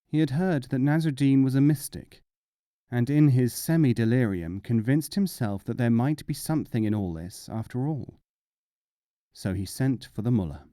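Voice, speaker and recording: A man's voice: mid-range voice, lively intonation, normal speed, warm tone, no room sound, clean studio sound. A man narrating short humorous fables as a scripted audiobook reading.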